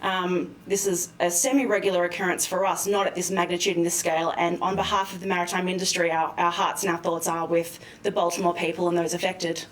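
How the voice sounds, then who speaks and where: A woman speaking as an expert guest in a live news interview, explaining things in a measured, careful way. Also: on the low side, flat delivery. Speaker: expert guest, maritime lawyer. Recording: roomy, distant mic with faint noise.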